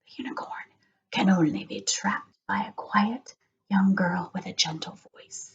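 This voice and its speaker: A woman voicing a cunning male royal doctor in a storybook, measured and conspiratorial, sometimes hushed. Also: medium pitch, steady tone, creaky, in a whisper, highs cut off. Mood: hushed scheming.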